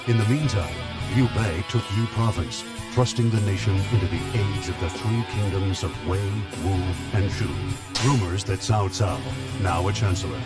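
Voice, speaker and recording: A solemn man as narrator tells ancient war history for a video game in a low, formal storytelling voice. Loud plucked-string music plays behind him. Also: natural voice.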